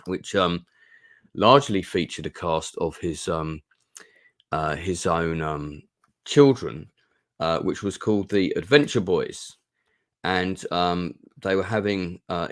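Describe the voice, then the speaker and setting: A man with a croaky, ill-sounding voice, chatting casually through a film review with many pauses and fillers. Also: sits low. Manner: rambling with many ums and restarts. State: hoarse, ill with a sore throat. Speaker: film reviewer and channel host. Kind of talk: casual live-stream review chat. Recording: echoey room.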